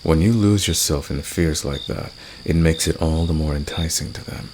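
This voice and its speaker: A man playing a confident, flirtatious and secretly protective fantasy villain in an ASMR romance roleplay, gravelly and intimate, with a teasing, smug manner. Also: moderate pace. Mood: protective, reassuring. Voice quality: very deep, gravelly. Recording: clear background noise, night cricket ambience.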